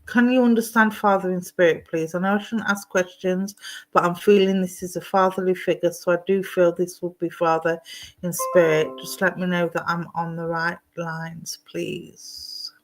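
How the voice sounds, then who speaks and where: A woman with a somewhat low voice gives a live online psychic reading. She speaks gently and reassuringly and calls her sitters darling and sweetheart. Her talk wanders, with frequent ums and restarts. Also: steady tone, moderate pace. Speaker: psychic medium. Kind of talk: live-streamed intuitive reading. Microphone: streaming setup at home.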